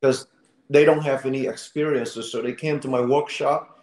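A man from Vietnam, a guest on a video call, friendly and a bit tired, pausing often with fillers. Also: mid-range voice, fairly even intonation, a little mellow, reverberant, poor audio. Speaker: guest, actor and fight choreographer. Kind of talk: interview answers over video call. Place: room at home. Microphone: video call webcam.

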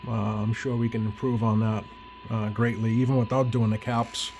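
A man giving a casual, unscripted hands-on demonstration to viewers, halting and thinking aloud as he works. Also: medium pitch, little pitch movement, darkish tone, soft background music. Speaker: radio repair hobbyist, video host. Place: indoor home electronics workbench.

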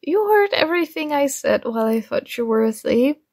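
A woman's voice: sits high, expressive, normal speed, a little mellow, dry recording, studio quality. A woman playing a shy, nerdy young classmate in a romantic ASMR roleplay, flustered and smitten, speaking in a hesitant, awkward way. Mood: flustered, embarrassed.

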